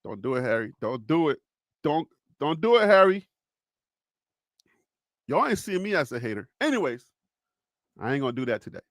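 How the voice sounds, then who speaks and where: A man's voice: a little high, very animated, moderate pace, pauses often, fairly bright tone, dry recording, studio quality. A man with a Liberian accent hosting a solo sports livestream, joking and repeating himself as he talks to his chat. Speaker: sports show host.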